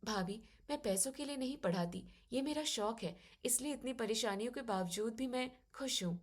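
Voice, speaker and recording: A woman playing an educated young daughter-in-law who is soft-spoken, polite and firm as she reasons in an audio story. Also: on the high side, moderate pace, close to an everyday voice. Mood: earnest.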